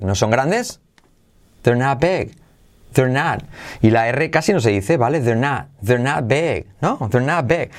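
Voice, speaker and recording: Playful teacher drilling phrases. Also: male voice, medium pitch. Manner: drills phrases slowly and repeatedly, playful asides. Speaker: English teacher. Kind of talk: lively pronunciation lesson.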